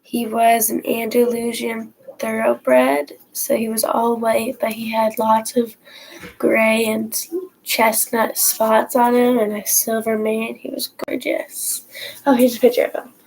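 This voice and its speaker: A teenage girl talks to her viewers at home, her nose stuffy from a cold. She rambles through her story in an unhurried way, with fillers and false starts, and her voice sits a little high. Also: monotone, creaky, darkish tone, a little hiss or hum, rough recording. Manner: rambling, many restarts and fillers. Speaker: horse vlogger. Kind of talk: casual storytime to camera. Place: at home, indoors.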